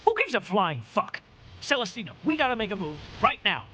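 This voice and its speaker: An actor playing a brash, self-important, scheming senator in a video game, excitable and slangy. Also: male voice, high-pitched, brisk, a little hiss or hum, exaggerated voice. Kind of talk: video game cutscene acting.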